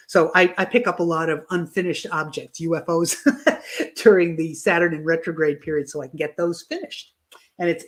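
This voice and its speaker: A middle-aged woman astrologer, losing her voice, explaining planetary cycles in a live online talk, often restarting her phrases. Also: low voice. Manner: explanatory, with frequent restarts and fillers. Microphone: video call.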